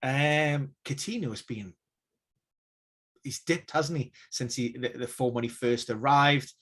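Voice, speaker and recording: Male pundit, mid-pitched, measured and hedging, casual stream analysis. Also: a bit bright, slightly rough. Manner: measured, hedging, many fillers and restarts. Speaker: injury news expert.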